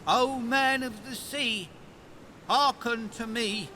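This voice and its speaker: Man as a high-pitched, meek fisherman husband. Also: takes it slowly, noisy background. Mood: pleading chant. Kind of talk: full cast audio fairy tale drama.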